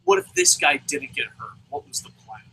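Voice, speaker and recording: A man's voice: mid-range voice, huge pitch swings, brisk, creaky, bright, forceful tone, slight background noise, poor audio. A man hosting a casual wrestling review podcast, rambling and swearing freely, with stammering restarts. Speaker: wrestling review show host. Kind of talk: casual podcast chat, profane. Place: lives in Japan.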